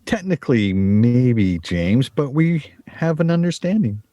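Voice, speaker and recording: A plainspoken, defensive man played by a male actor in a radio play. His soft, mellow voice rises and falls freely, with a hesitant delivery and little room sound. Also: medium pitch, big pitch swings, unhurried, no echo.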